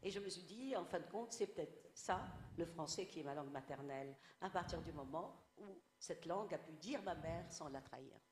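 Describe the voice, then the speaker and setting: A woman's voice: deep voice, lively intonation, normal speed, hardly any pauses, darkish tone. Reflective Tunisian woman in an echoey hall. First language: Arabic. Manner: reflective, storytelling. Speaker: guest writer. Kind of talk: panel discussion.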